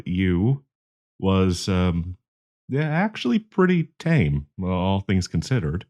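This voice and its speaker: Guy playing awkward shy dude with a crush, lowish voice, halting and stammery, audio roleplay. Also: a little mellow. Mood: shy, embarrassed. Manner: stammering, hesitant, with nervous laughs. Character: nervous, awkward young man shyly confessing love to a friend. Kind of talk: intimate audio roleplay acting.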